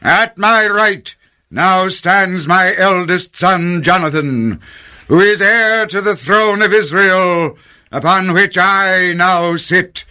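A man's voice: high-pitched, takes it slowly, pushed and bright, smooth, exaggerated voice. A man playing a jealous, wrathful aging king in a biblical audio drama, declaiming imperiously and theatrically. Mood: solemn, proud.

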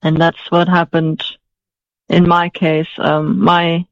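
A woman's voice: lowish voice, monotone, takes it slowly, dry recording, highs cut off. A woman in her forties raised in Denmark, answering interview questions hesitantly with many fillers and restarts. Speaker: guest, author.

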